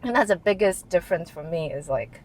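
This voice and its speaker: A middle-aged woman from Taiwan, answering interview questions in a relaxed way, often restarting sentences and joking. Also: on the low side, brisk, slight background noise, rough recording. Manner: hesitant, restarts often, jokes and laughs. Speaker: guest, tech executive. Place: office in Shanghai.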